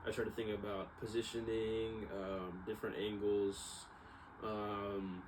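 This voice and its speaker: A man's voice: on the low side, little pitch movement, a little slow, background noise. A young American man telling a story casually, with a rambling, slangy manner, often correcting himself. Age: young adult. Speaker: vlogger, exercise science student.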